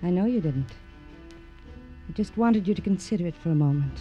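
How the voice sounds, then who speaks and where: A woman's voice: lowish voice, animated, brisk, dull audio, lightly acted. Woman acting a moody femme fatale, intimate, questioning, fragmented phrasing, vintage radio noir, faint music behind. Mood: probing, seductive. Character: moody, seductive femme fatale, lonely and probing.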